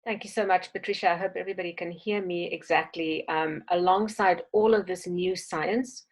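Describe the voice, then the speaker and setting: South African woman, lowish voice, steady and thoughtful, webinar speaker on a call. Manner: measured, thoughtful, with frequent ums. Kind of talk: presentation on a video call.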